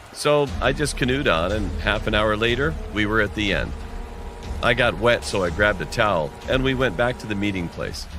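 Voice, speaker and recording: A male narrator reads a scripted horror story in the first person. His pitch sits a little low but moves in a very lively way. Faint music plays behind him.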